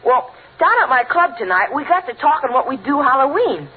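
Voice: high-pitched, sing-song, brisk. A performer playing an earnest, worried thirteen-year-old American boy in 1940s radio comedy, eager and naive. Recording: tinny, faintly noisy 1940s radio recording.

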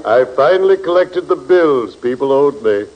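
An actor playing a genteel, ghoulishly cheerful undertaker in 1940s radio comedy, formal and solemnly jovial. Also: male voice, mid-range voice, lively intonation, normal speed, close to an everyday voice. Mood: solemnly pleased. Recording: muffled, faintly noisy 1940s radio recording.

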